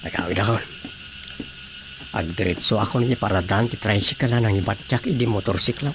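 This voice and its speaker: A man's voice: on the low side, brisk, noisy background, close to an everyday voice. An actor playing a suspicious, grumbling man in a radio drama, muttering and sighing as he talks. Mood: conversational.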